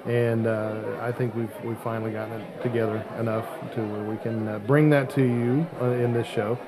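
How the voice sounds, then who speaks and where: A man's voice: mid-range voice, little pitch movement, moderate pace, background noise. A man hosting a podcast in a casual, conversational style. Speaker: podcast host.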